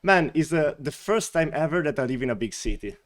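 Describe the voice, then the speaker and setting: A man's voice: on the high side, expressive, fairly quick. A man from the Veneto region of Italy with an Italian accent, chatting as a guest and laughing often between filler words. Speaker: wine company representative, guest. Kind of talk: casual interview chat.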